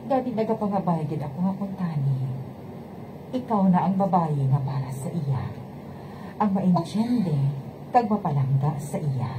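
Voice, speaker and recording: A woman's voice: on the low side, animated, measured pace, noisy background. A woman playing a devoted, protective middle-aged household nanny in a radio drama, talkative, with a Hiligaynon Filipino accent. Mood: fond, concerned. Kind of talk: radio romance drama acting.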